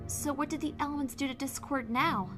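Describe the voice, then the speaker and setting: A woman acts out an earnest, anxious young female pony with a high voice. It is cartoon-style audio drama, with music clearly behind her. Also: brisk, natural voice. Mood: curious, uneasy. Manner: earnest, questioning.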